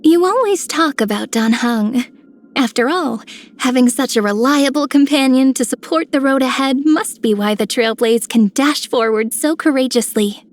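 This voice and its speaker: A high, sweet young female voice, performed by a woman for a gentle video game healer. She speaks softly and earnestly, with a tender temper. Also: normal speed, natural voice. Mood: earnest, warm. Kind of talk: video game character voice lines.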